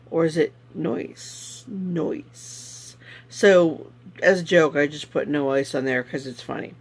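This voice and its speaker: Hoarse, gravelly man chatting casually. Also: on the high side. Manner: rambling, relaxed, with many fillers. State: slightly hoarse. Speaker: vlog host. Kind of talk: casual vlog chat.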